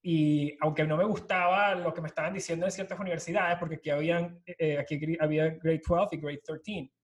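A man's voice: on the high side, fairly even intonation, a little fast. A Venezuelan man in his thirties, a startup co-founder, telling his story at length over a video call and dropping English words into his Spanish. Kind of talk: casual storytelling interview.

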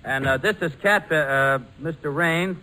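An eager young flyer with a slightly high voice in a 1940s radio drama. He is earnest and insistent, a born persuader, heard on an old broadcast recording. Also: sharp tone, a little hiss or hum, rough recording. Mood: earnest, persuasive. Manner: earnest, insistent, fast-talking salesmanship. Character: eager, persuasive young ex-military cargo pilot pitching an airline.

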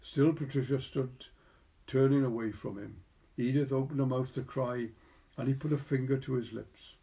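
A man's voice: mid-range voice, steady tone, moderate pace, creaky, dark tone. A man from Wales with a Welsh accent, narrating a literary short story. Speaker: story reader.

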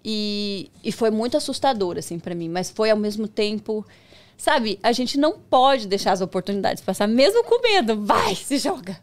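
A woman chatting as a podcast guest, telling her story with lively jumps in pitch when she gets excited. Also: moderate pace. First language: Portuguese. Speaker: podcast guest, micropigmentation professional. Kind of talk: casual conversation.

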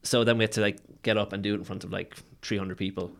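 A man's voice: fairly low pitch, fairly even intonation, fast talker, creaky. A man on a podcast, telling enthusiastic anecdotes in casual chat.

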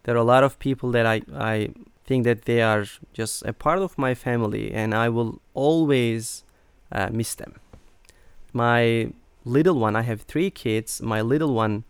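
A middle-aged man from Turkey, speaking as an interview guest in a halting way with many fillers. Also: mid-range voice, smooth, no echo. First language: Turkish. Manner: hesitant, many fillers and restarts. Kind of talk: conversational interview.

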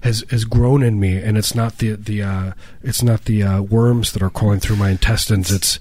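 Man, podcast co-host, somewhat low voice, slightly nasal, deadpan, rambling, dry close mic. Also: normal speed, no room sound. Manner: rambling, stammering, deadpan jokes. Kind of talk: casual comedic chat.

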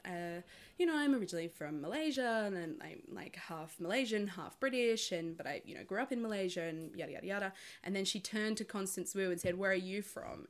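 A woman from Sydney with an Australian accent, hosting a casual podcast chat and often laughing as she tells stories. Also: medium pitch. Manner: chatty and animated, laughs often while telling stories. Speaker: podcast host.

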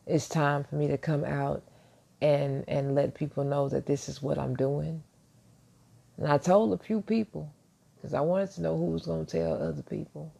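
Soft-spoken gravelly man, deep and mellow. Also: fairly even intonation, normal speed. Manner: speaking quietly so as not to wake neighbors; self-assured, reflective, rambling with restarts. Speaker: podcast host. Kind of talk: casual motivational monologue. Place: at home, early morning.

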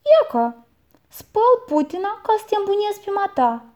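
A woman's voice: very high-pitched, sing-song, warm tone, voice pushed up. A woman voicing a sly, cheeky twelve-year-old orphan boy who plays dumb and innocent.

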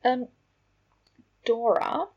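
A woman plays a reluctant, polite wizard man in a storybook, awkward and hesitant. The husky voice lifts higher in pitch. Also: drawn-out words, dull audio, full character voice. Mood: sheepish.

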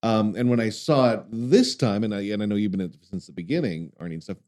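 A man's voice: fairly low pitch, fast talker, darkish tone. A man interviewing stage actors in a chatty way, laughing easily. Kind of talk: conversational interview.

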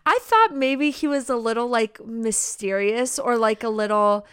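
A woman's voice: a little high, unhurried, a bit bright, clear, resonant tone. A young adult woman co-hosting a podcast, talking casually and agreeably about a book in an informal way. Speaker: podcast co-host. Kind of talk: casual book discussion chat.

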